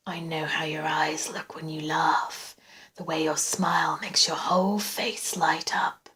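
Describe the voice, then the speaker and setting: A woman performs an obsessive man lurking in the shadows of a suspense story. He speaks in a soft, breathy whisper, slowly and intimately. His tone is commanding yet gentle, and full of menace. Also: mid-range voice, poor audio. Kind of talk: suspense audiobook character.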